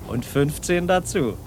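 A man's voice: on the high side, big pitch swings, takes it slowly, a little mellow, a little hiss or hum. An actor playing an amused, easygoing taxi driver in his forties in a satirical radio play. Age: 40s. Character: easygoing, amused middle-aged taxi driver, uncle's pal.

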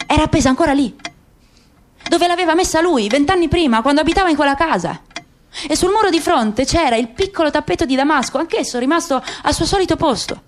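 A woman's voice: sits high, animated, fast. A woman gives a dramatic literary reading as narrator in a radio play. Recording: clear background noise with clicks.

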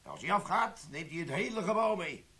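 An actor playing an older army sergeant who defuses bombs, in a radio play, telling war stories and giving brisk orders. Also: male voice, high voice, animated, sharp tone, slightly rough, heavily acted. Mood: urgent. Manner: storytelling, then brisk and commanding. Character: gruff older male army bomb sergeant.

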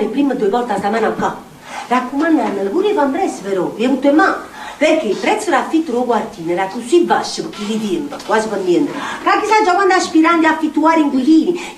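Superstitious neapolitan backstreet woman telling tales on stage, voice swoops wildly, roomy and a bit far off. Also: sits high. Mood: confiding. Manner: theatrical, incantatory, repeating phrases for emphasis. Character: volatile, superstitious Neapolitan storyteller of the poor backstreets. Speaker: stage actress. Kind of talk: theatrical monologue in Neapolitan dialect. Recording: roomy stage recording, distant, faint noise.

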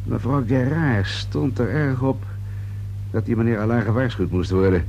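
A man acting as a wry police inspector in a radio play. He muses and questions politely, shrewd beneath the affability. The recording has audible noise and hum behind his medium-pitched voice. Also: gravelly, darkish tone, lo-fi sound, lightly acted. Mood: probing, musing. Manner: musing, unhurried questioning with a polite, probing edge.

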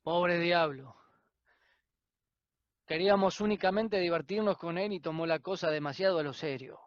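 A man's voice: a little high, moderate pace, dry recording, lo-fi sound, natural voice. An actor playing a brutal, contemptuous judge who barks scornfully at his thugs in a radio drama. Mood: dismissive, commanding.

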